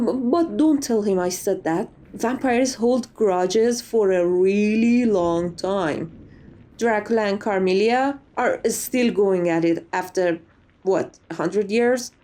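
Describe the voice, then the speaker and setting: An immortal lady vampire, played by a woman in an ASMR roleplay. Her voice is fairly high yet soft-edged and mellow. She talks chattily, with playful grandeur and silly, self-important asides. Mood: gossipy. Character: chatty, theatrical, vain but silly female vampire, over a century old. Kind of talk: ASMR roleplay, in-character interview monologue.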